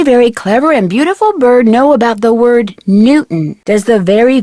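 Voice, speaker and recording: A woman's voice: fairly high pitch, dark tone, no room sound, studio quality, lightly acted. A woman voicing a young, friendly and persistent amateur detective in an adventure video game, speaking earnestly and politely. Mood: playful coaxing. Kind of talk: scripted adventure game dialogue.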